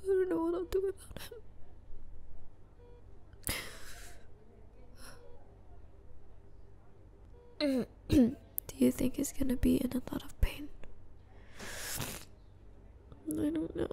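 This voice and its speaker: A woman playing an anxious, grieving young adult in an ASMR roleplay, speaking in a hushed, breathy, halting way. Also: sits high, exaggerated voice. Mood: anguished, worried. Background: hospital ambience.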